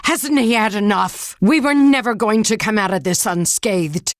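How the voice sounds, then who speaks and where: A tough, resolute woman in charge of a nation under threat, played by an actress in a video game. She is blunt and commanding, with a middle-pitched voice. The sound is dry, with hardly any room to it. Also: moderate pace, no echo, close to an everyday voice. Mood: grim, resolute. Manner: blunt, commanding, hard-nosed. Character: tough, resolute female government leader fighting a giant monster. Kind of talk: dramatic video game voice acting.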